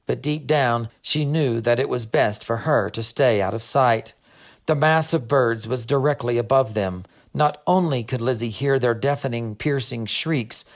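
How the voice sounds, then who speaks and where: Measured male storybook narrator. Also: medium pitch. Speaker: narrator, author reading own book.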